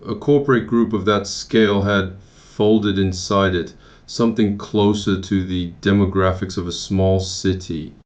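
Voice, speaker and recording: A man from Taiwan narrating his own memoir in a measured, matter-of-fact way, with occasional restarts. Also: fairly low pitch. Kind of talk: first-person memoir narration.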